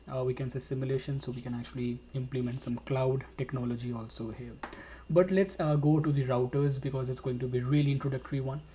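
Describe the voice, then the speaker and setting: A man leads a webinar over a computer mic, walking viewers through a screen demo. His delivery stays level and unhurried, with a warm, mellow tone, and he leans on fillers as he explains. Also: medium pitch, slight background noise. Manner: steady explanatory talk with frequent fillers and "all right". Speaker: instructor.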